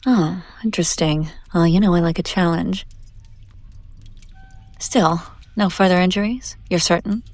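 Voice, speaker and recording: Casting: female performer as an imperious demon buyer for audio roleplay; lowish voice, condescending, teasing, theatrical, very dry close sound. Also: a little slow, long pauses, a little mellow, no echo, lightly acted. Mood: cool, businesslike. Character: haughty, predatory demon woman, wealthy buyer at auction.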